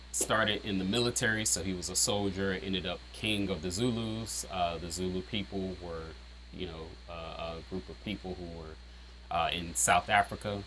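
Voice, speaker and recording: Deep-voiced rapper musing on his lyrics. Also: noisy background. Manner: rambling, full of "um", "like" and "you know". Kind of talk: casual explanatory chat.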